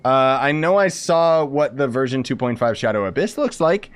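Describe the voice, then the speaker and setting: A man's voice: mid-range voice, expressive, normal speed, clear, resonant tone. A young man giving offhand, slangy live gameplay commentary while chatting to his viewers. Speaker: game streamer.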